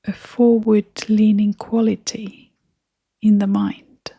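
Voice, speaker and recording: A woman leading a guided meditation in a gentle, breathy, whispery and creaky voice, speaking in short phrases with long, unhurried pauses. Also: mid-range voice, level tone, no room sound.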